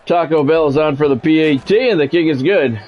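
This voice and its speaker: A man's voice: on the high side, brisk, muffled recording. Man calling a football video game play-by-play. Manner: radio-style play-by-play, breaks into casual swearing and greets chat viewers. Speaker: livestreaming play-by-play commentator.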